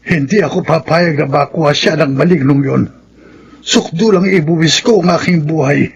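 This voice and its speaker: A man's voice: fairly high pitch, normal speed, heavily acted. A man playing a stubborn, desperate grandfather in a radio drama, making emphatic, melodramatic vows. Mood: resolute, grave. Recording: clearly noisy track.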